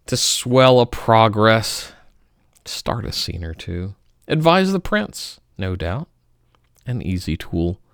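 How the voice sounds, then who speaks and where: A man giving a theatrical, melodramatic poetry reading, swinging between loud outbursts and hushed murmurs. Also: medium pitch, no room sound, clean studio sound. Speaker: poetry reader.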